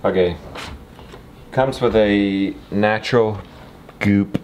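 A man's voice: fairly low pitch, slow pace, lo-fi sound, a little hiss or hum. A man in his thirties talking casually and encouragingly, a folksy father vlogging through farm chores. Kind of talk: casual vlog talk while doing farm chores.

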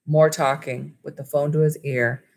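Low-voiced woman reading a storybook. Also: fairly even intonation, measured pace, gravelly, darkish tone. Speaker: school librarian reading aloud. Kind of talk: storybook read-aloud with asides to children. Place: small wood-panelled room at home. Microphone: webcam or laptop at desk distance.

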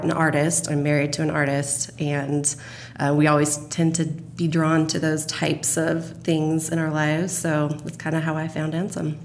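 A woman with a fairly low voice chats casually as a guest on a podcast. There is faint noise behind her. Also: vocal fry. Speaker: podcast guest, radio drama producer. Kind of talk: casual interview chat.

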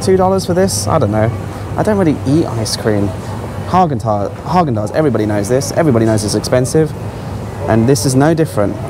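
A man's voice: medium pitch, lively intonation, fast. Chatty man vlogging over background music. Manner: chatty, exclaiming, offhand. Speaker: travel vlogger. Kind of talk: casual running vlog commentary. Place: large supermarket aisles.

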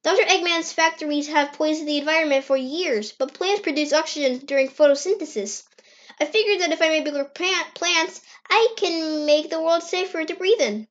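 A performer voicing an eager, anxious young boy fox in an amateur cartoon comic dub, earnest and excitable. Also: high voice, normal speed. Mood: cheerful. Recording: dull, lo-fi screen-recorded sound.

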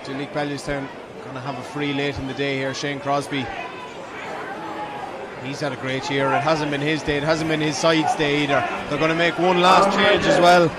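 Irish male play-by-play commentator, fairly high voice, calling Gaelic football from the stand through very noisy surroundings. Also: flat delivery, moderate pace. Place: outdoor stadium stand. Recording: loud crowd and outdoor stadium noise. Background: loud crowd and ground noise.

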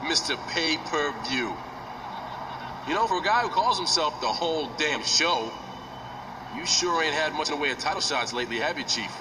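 A man's voice: medium pitch, close to an everyday voice. An actor playing a brash young male wrestler who talks street slang in a video game cutscene. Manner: trash-talking street slang. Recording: distant, roomy, noisy game audio.

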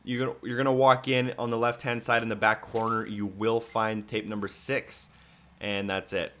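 A man's voice: lowish voice, flat delivery, normal speed, fairly bright tone. A man giving a casual, step-by-step walkthrough commentary as a video game guide narrator. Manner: instructional, step-by-step. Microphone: voice-over on a microphone.

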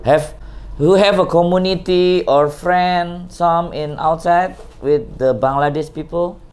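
A woman's voice: on the low side, fairly even intonation, measured pace, darkish tone, slight background noise. A woman with an Indonesian accent interviewing a domestic worker in simple English, laughing often and repeating "wow" and "okay". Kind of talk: casual chat in simple English.

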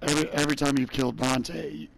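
A man's voice: medium pitch, steady tone, fast talker, gravelly, low-quality recording. A man playing as a teammate, making teasing offhand remarks during a match. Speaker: teammate in a game. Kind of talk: casual gaming chat.

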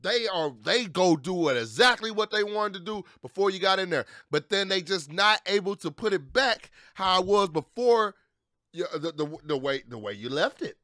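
A man's voice: high-pitched, expressive, moderate pace, bright, forceful tone, no echo. A young man giving casual, slangy, sweary commentary with emphatic repetition, close on the mic. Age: young adult. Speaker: reaction video host. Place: small indoor streaming room. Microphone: close boom-arm microphone.

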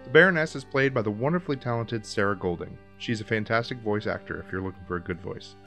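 A man's voice: fairly low pitch, quick pace, fairly bright tone, faint music behind. A man reading scripted podcast credits as the show's producer.

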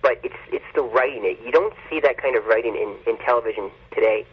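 Husky male podcast guest, lo-fi. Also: medium pitch, fast talker. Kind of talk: casual interview chat.

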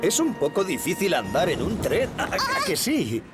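A patient young father in a children's cartoon, in Castilian Spanish. He is encouraging and gentle, with a very animated melody to his speech. Music plays clearly behind him. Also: high-pitched, moderate pace. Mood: cheerful, patient. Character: patient, cheerful young dad of a small boy.

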